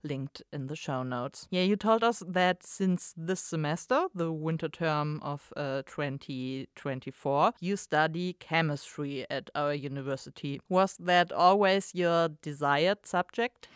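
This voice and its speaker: A woman's voice: deep voice, measured pace, hardly any pauses, clear, resonant tone, no room sound, studio quality. A woman hosting a university podcast, interviewing a guest and explaining things in a friendly way. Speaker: podcast host.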